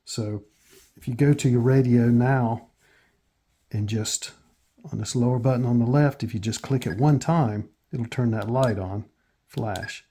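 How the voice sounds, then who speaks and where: An American man hosting a casual step-by-step tutorial in a plain, matter-of-fact way with hesitant pauses. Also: medium pitch, mellow, breathy edge. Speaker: tutorial host.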